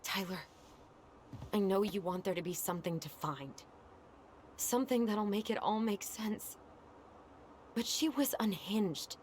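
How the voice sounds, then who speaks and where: A woman's voice: medium pitch, unhurried, long pauses, darkish tone, slight background noise, natural voice. A woman playing a guarded, exasperated young adult sister in naturalistic video game dialogue. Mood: insistent, troubled.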